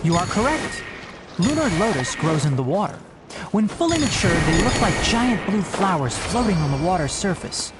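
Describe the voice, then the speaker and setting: A man's voice: high-pitched, sing-song, moderate pace. A man playing a young forest ranger in a video game, precise, earnest and a little prim, explaining things like a botanist guide. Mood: lecturing. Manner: precise, instructive, slightly prim. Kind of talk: scripted video game voice acting. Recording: game music behind the voice.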